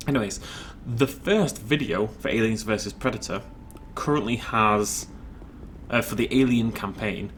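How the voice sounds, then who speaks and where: A man's voice: lowish voice, measured pace, fairly bright tone, a little hiss or hum. A man casually rambling while commenting on his own gameplay, chatty and self-deprecating. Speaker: let's play host.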